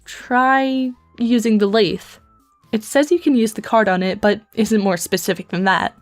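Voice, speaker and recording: A dry, composed teenage girl in a webcomic dub, acted by a woman. She speaks at a medium pitch in long, articulate, deadpan sentences, with faint music underneath. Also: measured pace, close to an everyday voice. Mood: wry. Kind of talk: fan comic dub acting.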